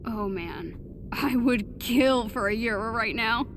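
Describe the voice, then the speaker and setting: A woman playing an upbeat young American heroine in a video game, chatting casually and reassuring a friend with gentle teasing. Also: fairly high pitch, slow, noisy background. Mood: playful, casual. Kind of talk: naturalistic game voice acting, casual chat.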